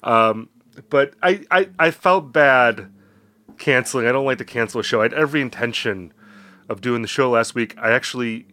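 A man's voice: medium pitch, normal speed, bright, forceful tone. Congested man hosting a chatty podcast. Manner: rambling, self-deprecating. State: congested, getting over an illness. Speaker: podcast host. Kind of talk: casual chat.

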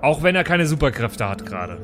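A man with a slightly high voice plays a character in an improvised tabletop game. He speaks informally and often trips over his wording, switching between role-play and casual table talk. Light music runs underneath. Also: big pitch swings, fairly quick, a bit bright. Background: background music.

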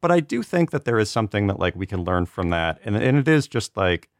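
Deep-voiced man in casual, rambling discussion. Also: big pitch swings, brisk, no room sound, studio quality. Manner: hedging, rambling, peppered with "like". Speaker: podcast panelist.

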